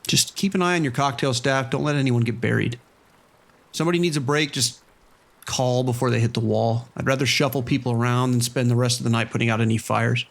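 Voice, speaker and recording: Guy playing a casino boss in an audio drama, normal mid voice, easygoing, measured, looks after his staff. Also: medium pitch, fairly even intonation, gravelly, close to an everyday voice. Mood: steady, practical. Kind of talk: scripted horror audio drama radio exchange.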